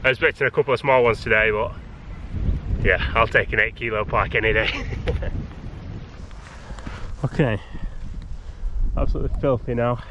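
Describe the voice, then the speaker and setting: A man's voice: mid-range voice, steady tone, moderate pace, pauses often, highs cut off. A young man chatting upbeat to camera while fishing on a windy riverbank, picked up by an action camera. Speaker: fishing vlogger. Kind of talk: casual outdoor commentary. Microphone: action camera on body or tripod.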